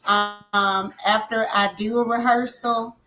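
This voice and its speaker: A woman singer speaking in a casual interview, emphatic and animated, often repeating phrases for effect. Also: fairly high pitch, slow pace, soft background music, low-quality recording. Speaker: singer guest.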